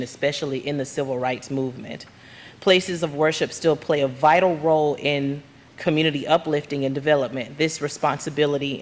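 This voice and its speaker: A woman from Georgia, a labor activist, giving a loud and earnest talk from the heart to a church congregation. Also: rumbling low. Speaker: guest speaker, labor union activist. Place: church sanctuary. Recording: long hall reverb, faint room noise.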